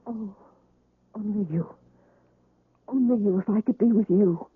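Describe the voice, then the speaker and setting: A sad worn-out wife in an old radio play, husky and yearning, thin phone-like sound. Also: female voice, medium pitch. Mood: despairing, longing. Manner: mournful, yearning, deliberate. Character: weary, despairing wife recovering from heart surgery. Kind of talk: radio drama acting. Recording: roomy, band-limited old radio recording.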